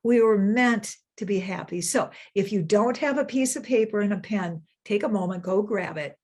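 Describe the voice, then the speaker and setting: An older woman giving a sermon as senior minister, earnest, repeating phrases and slowing down for emphasis. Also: mid-range voice, a little mellow.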